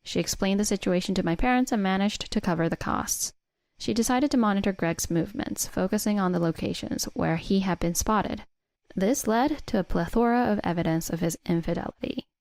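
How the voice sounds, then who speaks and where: Female narrator for first-person drama stories: measured read, mid pitch, creaky edge, very dry sound. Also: darkish tone, no echo. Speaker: story narrator.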